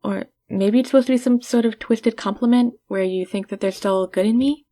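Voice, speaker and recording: A woman's voice: a little high, brisk, mellow, lightly acted. Haughty, teasing female villain played by a woman. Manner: condescending, teasing, addresses listener intimately. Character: haughty female supervillain, teasing, secretly caring. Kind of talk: ASMR roleplay acting.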